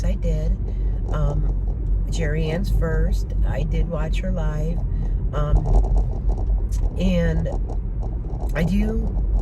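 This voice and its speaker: A woman with a low voice talks casually to her viewers from behind the wheel, sharing her opinions in a chatty way. She sounds a little congested from a head cold. Loud car and road noise runs under her voice. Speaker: vlogger. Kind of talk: casual chat while driving. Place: inside a car while driving. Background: road and traffic noise.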